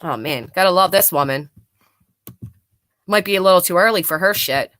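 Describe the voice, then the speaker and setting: Blunt woman livestreamer with a bright voice. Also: on the low side, moderate pace, no room sound. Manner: blunt, sarcastic, mocking, swears freely. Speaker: live stream host. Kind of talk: live commentary reacting to clips and reading chat.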